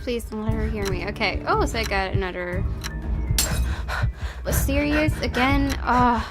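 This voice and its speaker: A woman's voice: on the high side, expressive, unhurried. A woman commentating on a horror game in a reactive, giggly way, switching between reading story text aloud and exclaiming. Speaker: gaming video host playing a horror visual novel. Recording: loud music behind the voice. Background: horror game music.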